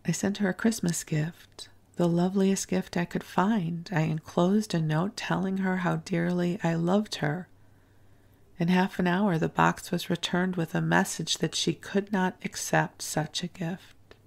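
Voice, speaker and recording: A woman's voice: lowish voice, fairly even intonation, a little slow, vocal fry. A woman reading the lines of a perplexed, lovesick young male French diplomat in a bedtime reading, pleading earnestly. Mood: dismayed.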